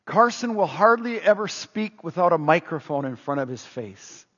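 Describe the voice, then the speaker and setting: A man's voice: a little high, lively intonation, normal speed, fairly bright tone, slightly rough. A middle-aged man preaching a sermon in a conversational way, often putting questions to the congregation. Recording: dull, reverberant hall sound.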